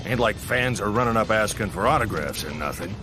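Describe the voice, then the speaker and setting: Folksy gruff crew chief, man acting, swinging pitch. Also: expressive, brisk, close to an everyday voice. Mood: gruff, dismissive. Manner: folksy, blunt, teasing. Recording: loud music bed behind voice. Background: loud music.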